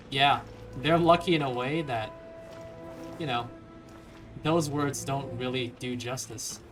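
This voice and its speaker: A man giving casual let's play commentary, chatty, with wry asides and bits of dialogue read aloud. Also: medium pitch. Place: voice-over with game music faintly behind.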